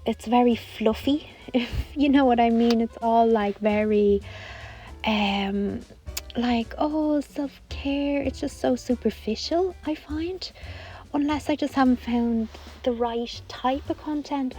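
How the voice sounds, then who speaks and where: A hoarse woman, chatting casually to camera in a rambling way with lots of fillers. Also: fairly high pitch, dark tone. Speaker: vlogger.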